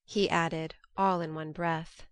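A woman's voice: low voice, little pitch movement, slow pace, smooth, no echo, clean studio sound. A woman reading scripted literary narration as an audiobook narrator.

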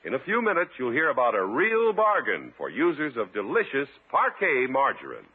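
A man's voice: medium pitch, wildly swooping pitch, moderate pace, over music. A man announcing a 1950s radio show and reading the sponsor's copy with a polished, emphatic sales delivery. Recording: muffled 1950s radio recording, faint hiss.

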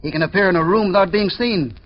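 Higher-voiced, nervous henchman. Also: fast. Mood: uneasy. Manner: plain-spoken, wary, informal. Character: nervous, superstitious male henchman crook. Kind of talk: old-time radio drama acting. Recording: dull, noisy old radio recording.

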